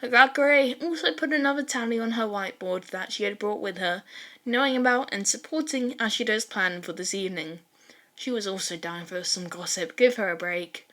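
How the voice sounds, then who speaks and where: A woman narrating fan fiction in an expressive amateur audiobook style, with animated dramatic asides. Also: medium pitch, moderate pace. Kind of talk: expressive amateur audiobook reading of a story, with dramatized character lines.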